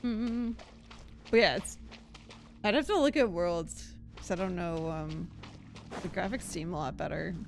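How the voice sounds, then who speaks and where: A woman's voice: fairly low pitch, a little slow, creaky, pauses often, noisy background. A woman streaming a game and chatting casually as she plays. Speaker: live streamer.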